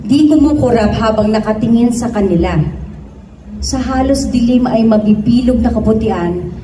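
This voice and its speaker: Woman doing a staged story reading, serious and measured, distant echoey mic, noisy room. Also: fairly high pitch. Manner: measured, serious, deliberate phrasing. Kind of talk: dramatic narrated story reading. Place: event room before an audience.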